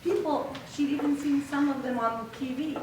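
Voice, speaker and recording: A woman reading a story aloud to young children in an expressive way, with little asides to her listeners. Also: sits high, moderate pace. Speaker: children's author reading own book aloud. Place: book signing event in an echoey room. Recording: echoey room recording with clear noise.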